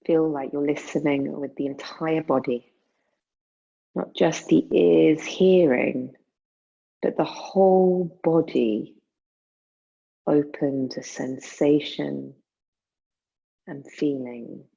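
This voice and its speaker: A woman guiding a meditation in a soothing, unhurried manner, leaving long pauses between phrases. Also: low voice, creaky, warm tone, husky, muffled recording. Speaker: yoga nidra meditation guide. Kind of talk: guided meditation.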